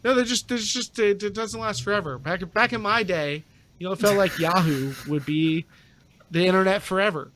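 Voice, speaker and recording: A man's voice: high voice, a little fast, a bit bright, a little hiss or hum. A man chatting casually as a podcast guest, speaking in an opinionated, emphatic way. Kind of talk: casual chat.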